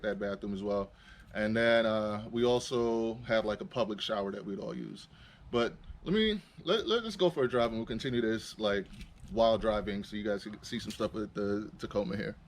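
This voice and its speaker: A man's voice: lowish voice, a little hiss or hum. A young adult man from Trinidad and Tobago, telling a rambling personal story as a vlogger, full of fillers and restarts. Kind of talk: casual storytelling. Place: in a vehicle.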